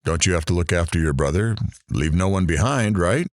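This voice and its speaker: A man's voice: sits low, expressive, a little fast, no room sound. A man playing a kindly, courteous studio host in an audio drama, speaking encouragingly. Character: kindly, appreciative male studio show host. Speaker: show host. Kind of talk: audio drama acting as a presenter.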